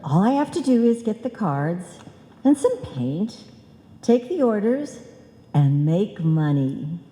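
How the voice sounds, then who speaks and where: An actress playing a chatty, muddled, earnest housewife with money-making notions in an old-time radio comedy. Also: female voice, mid-range voice, sing-song, slow pace, warm tone. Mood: excited scheming. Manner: muddled, earnest, malapropism-prone. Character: scatterbrained, chatty housewife eager to make money. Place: live performance in a reverberant hall. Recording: echoey hall, live stage performance.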